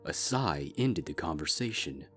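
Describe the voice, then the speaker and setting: A man with a low, deep voice reads a dark story aloud in a steady, measured way. Soft music sits faintly underneath. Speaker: fanfic reader. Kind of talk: scripted narration.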